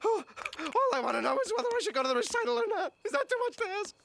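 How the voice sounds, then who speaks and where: An actor playing a frantic, exasperated middle-aged male duck in an animated comedy, whiny and explosive. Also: extremely high pitch, a little fast, gravelly, background noise, heavily acted.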